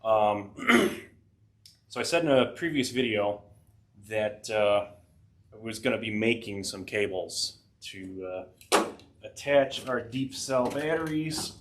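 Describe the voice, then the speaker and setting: Low-voiced man with a head cold. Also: lively intonation, slow pace, a bit bright, a little hiss or hum. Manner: rambling, lots of fillers, dry self-deprecating asides. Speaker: tech channel host. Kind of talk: casual DIY workshop narration. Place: home workshop.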